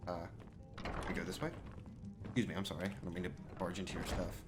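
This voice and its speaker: A man's voice: low-pitched, flat delivery, fast. A man role-playing his character in a video game, improvising casual, polite commentary as he plays. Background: game music.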